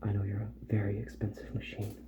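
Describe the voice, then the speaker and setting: A man speaking softly, slowly and soothingly in an ASMR roleplay as a repair doctor, often slipping into a whisper. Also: on the low side, completely flat delivery.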